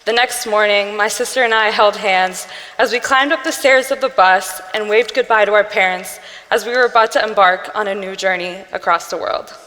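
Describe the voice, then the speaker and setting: A young woman giving an earnest personal storytelling speech, measured, with pauses between phrases. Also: medium pitch, fairly bright tone. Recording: long reverb from a hall-like room.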